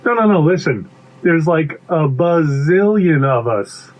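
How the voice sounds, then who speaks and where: A man's voice: fairly high pitch, lively intonation, a little slow, poor audio. A man voicing a brash, chatty bee with a pompous, lecturing manner in a children's storybook read-aloud. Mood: boastful.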